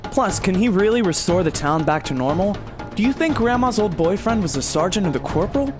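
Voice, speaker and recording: Female narrator for a serial audio drama, animated medium-pitched delivery over clear music. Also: brisk. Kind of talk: dramatic scripted episode narration.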